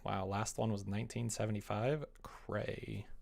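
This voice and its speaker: A man's voice: fairly low pitch, little pitch movement, unhurried, creaky, a little mellow. A young man chatting casually as he streams from his computer, muttering to himself and reading comments aloud in an offhand way. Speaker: live streamer. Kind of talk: casual live-stream chat while typing. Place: small indoor room at a computer.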